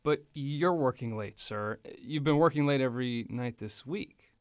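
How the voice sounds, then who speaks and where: An actor playing an earnest, deferential young legal assistant in a radio noir drama, polite and eager. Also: male voice, medium pitch, measured pace, dry recording, studio quality, natural voice.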